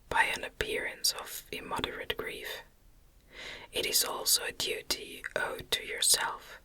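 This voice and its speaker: A woman reads a classic novel entirely in a breathy whisper. Her delivery is very slow and gentle, made to soothe. The whisper is crisp and very bright, in the manner of an ASMR bedtime reading. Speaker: audiobook reader.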